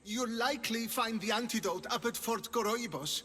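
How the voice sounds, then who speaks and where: An actor playing a frightened, cowardly underling in a video game, pleading and stammering for mercy. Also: male voice, very high voice, normal speed, fairly bright tone, breathy edge, big-room sound, full character voice. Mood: terrified, pleading. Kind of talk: video game dramatic acting.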